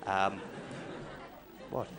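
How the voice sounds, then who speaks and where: A man from northeast England with a Geordie accent, doing a deadpan, punchy stand-up routine with dark jokes. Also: very slow. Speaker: stand-up comedian. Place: comedy club stage. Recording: live stage, crowd and noise.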